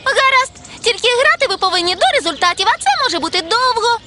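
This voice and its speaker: A woman's voice: very high-pitched, animated, fast, noisy background. A woman voicing a prim, assertive little girl fruit character in a cartoon, speaking like a bossy know-it-all. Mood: self-assured. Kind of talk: animated cartoon dialogue. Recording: loud music behind.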